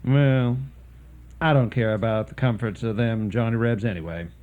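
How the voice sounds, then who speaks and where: A man's voice: on the low side, moderate pace, darkish tone, dull audio, lightly acted. An actor playing a nosy, dismissive, skeptical male boarder in a radio drama. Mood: sarcastic.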